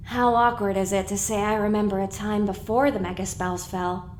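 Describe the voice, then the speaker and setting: A woman's voice: mid-range voice, fairly even intonation, moderate pace, slight background noise, lightly acted. A woman playing an anxious young female pony in an audio drama, earnest and bewildered, full of self-questioning. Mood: dazed.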